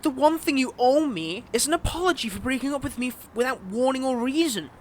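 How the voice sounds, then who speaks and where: Man acting a high-voiced, smug, possessive villain ex. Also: lively intonation, brisk, darkish tone, slight background noise. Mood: pleading, indignant. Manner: flirtatious, taunting, theatrical, with pet names and veiled threats. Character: smug, possessive male villain wooing back a former lover. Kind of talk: dramatic audio roleplay acting.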